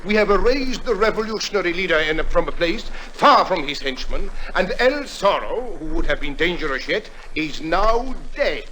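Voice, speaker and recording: An actor playing a smug, cunning Central American police captain in an old radio drama, speaking with gloating, formal precision. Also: male voice, sits high, lively intonation, moderate pace, few pauses, bright, forceful tone. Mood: smug, triumphant. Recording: noisy vintage radio recording.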